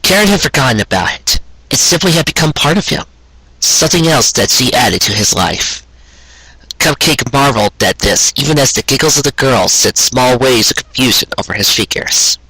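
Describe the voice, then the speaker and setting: A man reading a scripted story aloud in a gentle, measured storybook manner with deliberate pauses. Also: medium pitch, lively intonation, slightly rough, no echo, poor audio. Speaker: fan fiction audiobook reader.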